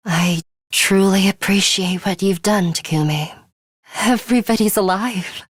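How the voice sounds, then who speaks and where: A proud young woman from a dramatic video game. She speaks very slowly and haughtily in a breathy voice, recorded close and dry. Beneath the imperious manner she is fatalistic and quietly caring. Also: mid-range voice, dry recording. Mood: proud, softened. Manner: haughty, deliberate, breathy delivery with hushed drops.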